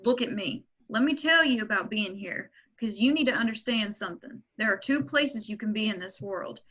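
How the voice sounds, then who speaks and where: A woman's voice: medium pitch, expressive, normal speed, fairly bright tone, dull audio. A woman reading the part of a blunt, lecturing grandfather in his sixties who owns a slaughterhouse, at an amateur table read. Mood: stern.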